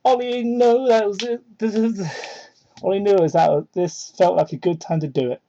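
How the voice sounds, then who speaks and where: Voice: fairly even intonation, moderate pace, warm tone, slight background noise. A young adult reading fan fiction aloud in a mock-dramatic way, with sweary, sardonic asides, on a cheap microphone. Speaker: reader and commentator. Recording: muffled low-fidelity cheap microphone.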